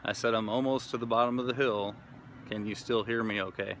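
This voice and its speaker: A man's voice: on the low side, steady tone, brisk, a bit bright, a little hiss or hum. Laid-back rambling man reviewing radios. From Kansas City area, USA. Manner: rambling, many fillers and restarts. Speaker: gear reviewer. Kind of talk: casual product review and field test. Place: outdoors in wooded hilly area.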